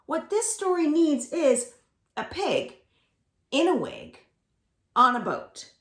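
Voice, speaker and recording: A woman with a fairly high voice hosts storytime for young children. She reads very slowly and deliberately, leaning on words for dramatic emphasis. The room around her echoes noticeably. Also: very animated, a bit bright. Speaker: library storytime host. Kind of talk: expressive children's read-aloud and singalong. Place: indoors at home. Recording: roomy, echoing home recording.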